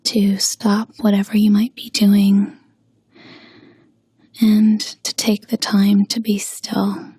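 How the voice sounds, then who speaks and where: A woman leading a guided meditation with gentle spoken instruction, pausing slowly and soothingly in a breathy, husky voice. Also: mid-range voice, completely flat delivery, dry recording, clean studio sound.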